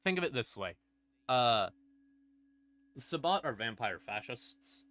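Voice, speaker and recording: A man's voice: mid-range voice, very animated, slow pace, bright, forceful tone. A man explaining lore on a stream in a casual, unscripted, irreverent way, swearing freely. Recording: background music under voice. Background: ambient music.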